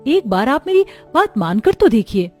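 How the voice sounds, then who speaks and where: A woman's voice: fairly high pitch, very animated, normal speed, darkish tone, faint music behind. A woman playing a kind, educated young village daughter-in-law in a cartoon, speaking patiently and encouragingly. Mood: persuasive.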